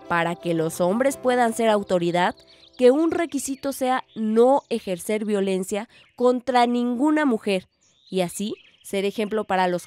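A woman's voice: medium pitch, darkish tone, natural voice. A woman playing a hopeful young villager who narrates her story earnestly in a radio drama. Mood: reflective, proud. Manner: earnest, reflective. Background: music.

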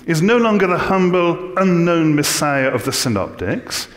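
A middle-aged man with an English accent giving a measured, scholarly theology lecture from a church lectern. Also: a little high, big pitch swings. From England. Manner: measured, scholarly, dry humor. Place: large church, indoors. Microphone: lectern mic. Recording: long church reverb.

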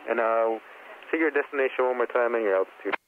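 A man working clearance delivery, giving procedural air traffic instructions over aviation radio. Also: mid-range voice, fast talker. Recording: narrow, phone-like radio with faint noise.

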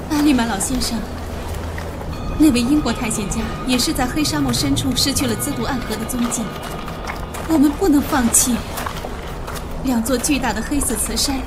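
Actress as female expedition leader: composed, articulate, high voice, radio drama. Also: noisy background, close to an everyday voice. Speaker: voice actor.

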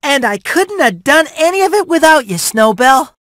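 High-pitched eager little boy mouse. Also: sing-song, normal speed, fairly bright tone, no room sound, clean studio sound. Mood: warm. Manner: perky, eager, earnest. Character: small eager young boy mouse, cheerful and polite. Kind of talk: video game cutscene acting.

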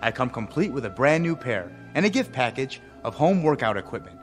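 A man's voice: medium pitch, very animated, fairly quick, faint music behind, lightly acted. An actor playing an athletic young male display android in a radio drama, reciting chipper sales patter. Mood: eager, chipper. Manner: chipper, rehearsed advertising patter.

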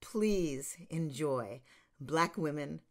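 An American woman in her sixties hosting online, giving an informal introduction with measured delivery and emphatic pauses. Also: low voice, animated. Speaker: theater company host introducing an online show. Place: small home room, indoors.

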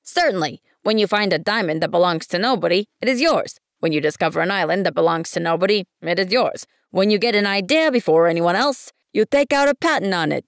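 Woman putting on a stuffy self-important businessman guy, loud and gravelly, storybook reading. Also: high voice, expressive, fairly quick, hardly any pauses, no room sound, studio quality. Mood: pompous. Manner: pompous, peevish, insistent. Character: pompous middle-aged businessman counting stars.

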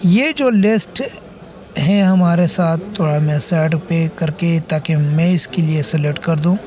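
A man with a high-pitched voice and a mellow, relaxed tone presents a phone repair tutorial. He talks casually and instructively, taking the listener through it step by step with frequent check-ins. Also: a little slow. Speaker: tech tutorial host.

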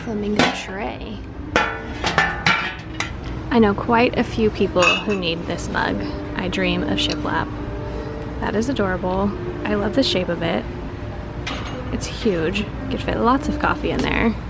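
Gushing woman in a store, gravelly voice. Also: medium pitch, measured pace, long pauses, heavy background noise. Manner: chatty, gushing over items, thinking aloud. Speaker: vlogger. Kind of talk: casual shopping vlog commentary. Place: inside a large craft store. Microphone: handheld camera.

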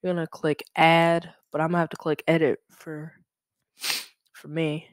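A young man presenting a software tutorial in a casual, halting, informal way, thinking aloud as he goes. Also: a little high, flat delivery, clear, resonant tone, no room sound, studio quality.

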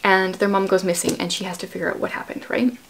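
A woman's voice: mid-range voice, flat delivery, fast talker, vocal fry, noisy background. A woman in her thirties hosting a casual book chat at home, explaining things conversationally and prompting with questions. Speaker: host, homeschooling mother. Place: small furnished room at home, indoors.

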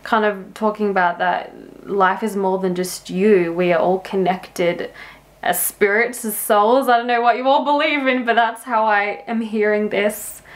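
A woman in her twenties hosting a music reaction video, talking casually and enthusiastically, thinking aloud and laughing often. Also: mid-range voice. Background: faint music playing.

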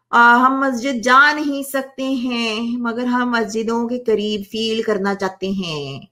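A woman's voice: fairly high pitch, a bit bright. A woman, giving blunt, emphatic, opinionated commentary to camera in a casual way, mixing two languages. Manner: emphatic, blunt, coarse-spoken. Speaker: vlogger. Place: small home office indoors.

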